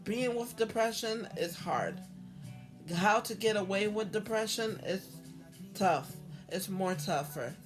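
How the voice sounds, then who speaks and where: Hoarse, slow-talking woman. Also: medium pitch, lively intonation, music in the background. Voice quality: halting, repetitive, with many restarts. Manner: earnest, heartfelt. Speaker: vlogger. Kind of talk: personal talk to camera.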